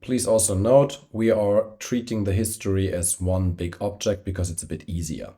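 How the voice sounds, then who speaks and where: Deep mellow man with a cold. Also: steady tone, moderate pace, slightly rough. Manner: hesitant, many fillers and self-corrections. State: has a cold, slightly congested. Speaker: software tutorial host. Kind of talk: screen-recorded software tutorial. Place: traveling, no camera, voice-over a screen recording. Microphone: poor-quality travel microphone.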